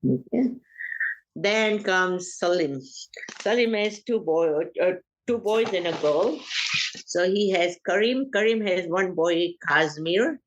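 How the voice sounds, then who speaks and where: An older woman from Uganda, a family elder being interviewed, talking in an unhurried, hesitant way with frequent pauses. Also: lowish voice, lively intonation, a little hiss or hum, low-quality recording.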